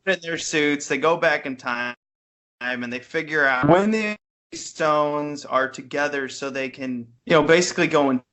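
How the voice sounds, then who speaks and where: A man with a bright, sharp voice pitched a little high discusses movies as a podcast co-host. He is informal and opinionated, speaking casually over an internet call. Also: measured pace. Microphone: Skype call.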